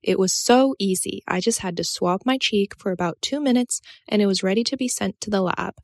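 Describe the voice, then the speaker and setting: A woman hosting her own channel, teaching vocabulary with slow, careful pronunciation of each word. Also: medium pitch, recorded from a distance. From Finland and the US. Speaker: language teacher and channel host. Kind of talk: sponsored segment and vocabulary lesson.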